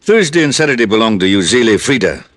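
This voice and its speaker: Very deep-voiced imperious tycoon. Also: male voice, big pitch swings, quick pace, noisy background. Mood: haughty, guarded. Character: big, imperious male plantation tycoon with a temper. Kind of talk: old-time radio drama acting.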